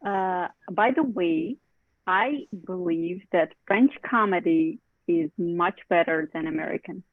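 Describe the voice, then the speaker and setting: A woman leading a casual English conversation club, chatty and quick to laugh as she draws others in. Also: lowish voice, no echo. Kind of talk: casual group chat in English. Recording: thin phone-like dry online call.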